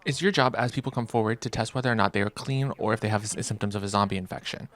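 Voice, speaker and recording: A man's voice: on the low side, rapid-fire, a bit bright. A man narrating a video game review in an informal, wry, joking way, with casual reactions. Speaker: gaming video creator.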